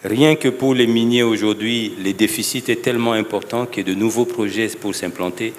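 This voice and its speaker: A man speaks formally as a panelist. His voice is somewhat low, heard in a reverberant hall with slight noise behind it. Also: fairly even intonation, moderate pace. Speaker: panelist, executive.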